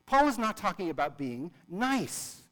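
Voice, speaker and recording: A man's voice: sits high, big pitch swings, unhurried, bright, forceful tone. Earnest preacher man in a big hall. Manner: earnest, repeats words for emphasis. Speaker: pastor. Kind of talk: sermon. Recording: long reverb of a large hall.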